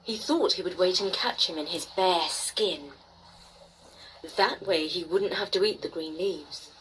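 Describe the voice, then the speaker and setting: A woman storyteller narrating a bedtime tale expressively, with dramatic pauses and emphasis. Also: fairly low pitch, fairly bright tone, rough recording. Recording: faint noise behind the voice.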